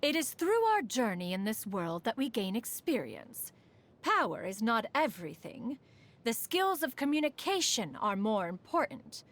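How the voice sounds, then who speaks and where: A woman's voice: on the high side, big pitch swings, normal speed. A woman voicing a proud, refined immortal sage and inventor in a video game, with formal, archaic, poised diction. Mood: composed, gracious. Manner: formal, stately, archaic diction, refers to herself as "one". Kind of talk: scripted game character voice lines.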